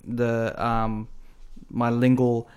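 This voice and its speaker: A man who makes music, talking casually on a podcast and pausing often on fillers. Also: medium pitch, flat delivery, a little mellow, smooth. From Australia. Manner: hesitant, many fillers. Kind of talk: casual chat.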